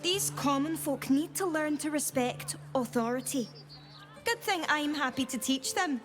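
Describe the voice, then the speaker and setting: High-pitched game enforcer, haughty, menacing, music behind. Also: female voice, animated, a little slow. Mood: haughty menace. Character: haughty, menacing female enforcer in fantasy city.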